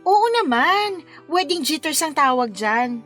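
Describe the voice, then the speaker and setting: A woman's voice: high-pitched, very animated, measured pace, a bit bright, faint music behind, full character voice. An actress playing a sassy, playful career woman in a Filipino radio drama, teasing and exclamatory as a concerned confidante. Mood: reassuring, playful.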